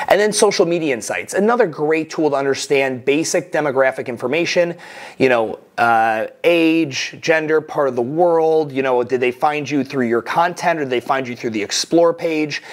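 A middle-aged man talks straight to the camera from a small room while he walks through slides. His voice sits at an ordinary middle pitch. He coaches plainly and directly, stacking up practical tips. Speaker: course instructor. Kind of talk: recorded slide lesson. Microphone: webcam inset over slides.

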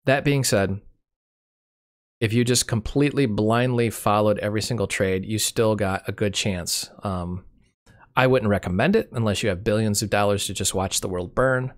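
A man's voice: fairly low pitch, normal speed. A man with a cold and a fading voice, casually walking through a screen share off the cuff, correcting himself as he goes. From Michigan. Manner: unscripted, self-correcting, dry humor. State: has a cold, losing his voice. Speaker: channel host.

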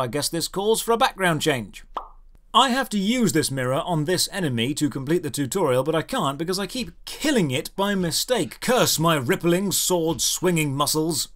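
A man doing scripted comedic game commentary, sarcastic and theatrical in his emphasis. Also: fairly high pitch. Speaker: game reviewer and video host. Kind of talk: scripted comedic commentary over gameplay footage. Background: game background music.

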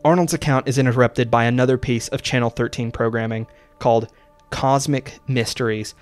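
A man's voice: mid-range voice, fairly even intonation. Man narrating dramatically over music. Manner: dramatic, deliberate storytelling. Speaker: video essay host. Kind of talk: scripted narration of horror series recap. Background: background music.

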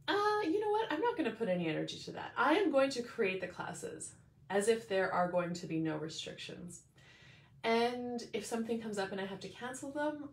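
Host, woman in her thirties, casual vlog chat into a phone at home, mid-pitched, roomy echo. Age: 30s. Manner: animated, reflective, speaks with hand gestures. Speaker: life coach and show host. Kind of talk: casual direct-to-camera chat. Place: small room indoors at home. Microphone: phone camera at arm's length. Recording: echoey room.

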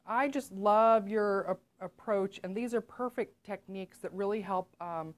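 A middle-aged woman hosting a casual interview as a nutritionist, upbeat and quick to affirm her guest with short okays, recorded in a small indoor studio. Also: medium pitch. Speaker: nutritionist host.

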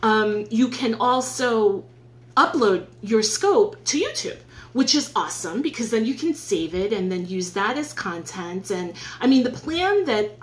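A woman's voice: a little high, roomy sound. A woman in her forties with a New York accent, chatting upbeat to viewers in a casual live stream from her home office, filmed on a phone on a stand. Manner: chatty, upbeat, thanks viewers as she goes. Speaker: live streamer giving tips. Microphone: phone on a stand at arm's length.

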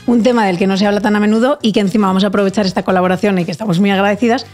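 A young woman hosting a casual podcast, reading sponsor lines and introducing a guest in a lively way, close on a desk mic in a studio. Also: mid-range voice, very fast, faint music behind. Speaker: podcast host. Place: podcast studio with plants and a backdrop.

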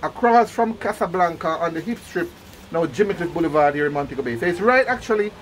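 Lively Jamaican man hosting a vlog. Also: on the high side, fast talker. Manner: enthusiastic, repeats words and phrases. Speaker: vlog host and interviewer. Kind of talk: casual on-location interview. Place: small gift shop on a busy street. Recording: noisy handheld on-location recording, traffic hum.

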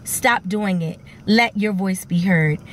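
A woman's voice: mid-range voice, takes it slowly, a little hiss or hum. A middle-aged woman, a teacher and singer, giving an earnest, encouraging talk to her viewers from a parked car, filmed on a phone held at arm's length. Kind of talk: inspirational vlog talk.

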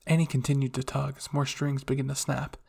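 A man reading fan fiction aloud as dramatic first-person story narration, moving between hushed and intense delivery. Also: medium pitch, monotone, fairly quick, gravelly, breathy edge. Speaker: audio fan fiction reader.

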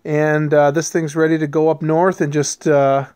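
A man in his thirties hosting a casual homesteading vlog, talking in a relaxed, rambling way with many ums and restarts, recorded on a handheld camera outdoors and in a workshop. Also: on the high side, moderate pace, smooth, dry recording. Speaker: homesteading channel host. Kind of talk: casual hands-on vlog narration. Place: outdoors beside a pickup truck in snow, later a workshop.

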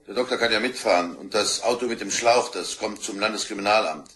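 An actor playing a brusque, dryly sardonic chief inspector in a German radio crime drama, brisk and authoritative. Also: male voice, on the low side, a bit bright, husky. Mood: brisk, commanding. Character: brusque, dry, commanding male police chief inspector. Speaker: police detective.

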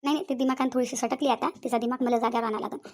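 A woman's voice: fairly high pitch, steady tone, very fast, a little mellow, lightly acted. A woman playing a middle-aged village matriarch in a comedy cartoon, placating and talkative, with a Varhadi Marathi accent and repetitive, reassuring persuasion. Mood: calming, insistent.

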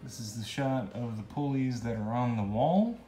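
A middle-aged man giving casual, halting, unscripted how-to narration while thinking aloud. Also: mid-range voice, dark tone. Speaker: vlogger demonstrating a DIY setup. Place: indoors in a cluttered home garage. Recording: echoey garage, faint music.

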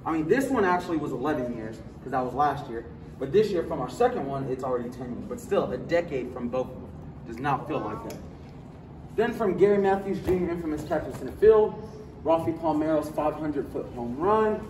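A man leads a stadium tour, talking straight to the group with real enthusiasm and a slightly high voice. He is caught on a phone from a few steps away, so he sounds distant. The big hall echoes, and steady noise fills the background. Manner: enthusiastic, addresses the group directly. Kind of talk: guided tour talk. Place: large indoor stadium concourse. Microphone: phone camera held by a visitor, a few steps away. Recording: echoey hall, voice from off the mic, noisy.

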